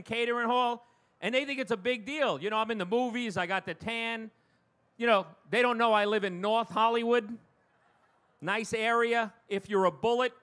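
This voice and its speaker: Very high-pitched New York stand-up comic, male. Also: a bit bright. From Long Island, New York. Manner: self-deprecating, punchy one-liners. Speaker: stand-up comedian. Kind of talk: stand-up comedy routine. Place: live comedy show before an audience.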